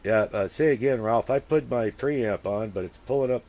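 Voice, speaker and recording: A man chatting casually as a radio operator, using procedure words and speaking haltingly as he thinks aloud. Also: medium pitch, background noise. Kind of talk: casual two-way radio chat with call-and-response procedure words.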